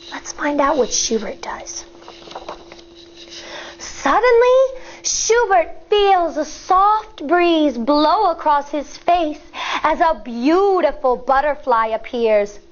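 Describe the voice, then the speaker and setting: High, sing-song woman reading aloud. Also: slow, muffled recording. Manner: expressive, sing-song, storyteller. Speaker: teacher. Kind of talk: reading a picture book aloud to children. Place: indoor studio in front of a backdrop.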